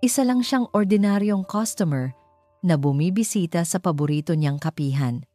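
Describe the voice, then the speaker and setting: Filipino-accented woman, low-pitched, scripted romance narration, storyteller, music behind. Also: lively intonation, normal speed, mellow, no echo.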